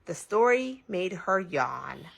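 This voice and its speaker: A woman reading a children's bedtime story aloud in an expressive, animated way, drawing out some words. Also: lowish voice, slow pace, creaky.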